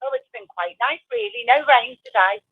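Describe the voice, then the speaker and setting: A woman's voice: a little high, fairly quick, husky. A woman calling in by telephone, answering casually with brief, agreeable replies. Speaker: phone-in caller. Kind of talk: casual phone conversation. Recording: muffled, tinny narrowband phone line.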